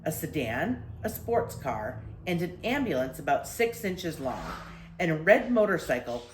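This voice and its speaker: An older woman narrates a children's book in an expressive storytelling style. Her voice is slightly low and moves through lively swings of pitch, with the room's echo around it. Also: unhurried, slight background noise. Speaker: storyteller reading to grandchildren. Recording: echoey room.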